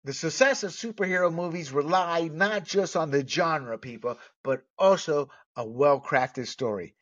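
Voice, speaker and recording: A middle-aged American man speaks his opinions solo in a fairly high-pitched voice. His delivery is emphatic but halting, with key words drawn out. The recording is somewhat dull and lo-fi. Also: fairly bright tone. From United States. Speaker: movie commentator. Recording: dull, lo-fi sound.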